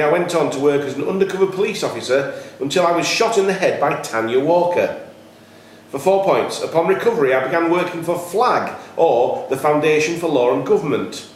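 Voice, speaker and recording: Male quizmaster, fairly high and animated, reading clues deliberately, faint background noise. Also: very echoey. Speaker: quiz host, question setter. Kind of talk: reading quiz questions aloud. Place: echoey indoor room at a cricket club.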